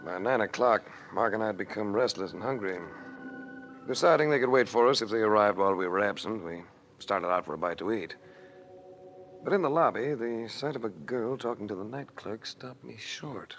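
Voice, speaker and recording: An American actor playing a suave, hard-boiled adventurer who narrates in a wry, clipped old radio detective style. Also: male voice, fairly low pitch, moderate pace, fairly bright tone, soft background music, poor audio. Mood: wry narration. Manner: wry, smooth, clipped noir delivery. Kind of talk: 1940s-50s radio detective drama acting. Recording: hissy old-time radio recording.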